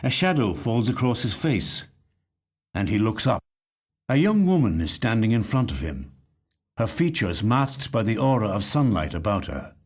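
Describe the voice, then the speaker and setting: A man reads literary fiction as a practised actor would. His delivery is measured and reflective, and his pitch moves expressively through each sentence. It is a scripted studio narration. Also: sing-song, darkish tone. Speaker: actor reading short stories. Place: recording studio.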